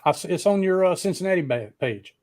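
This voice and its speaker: A man's voice: high-pitched, fairly quick. A man hosting a live streamed talk show, chatting casually and swearing freely as he fumbles with the streaming setup. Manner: profane, rambling and excitable. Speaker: podcast host.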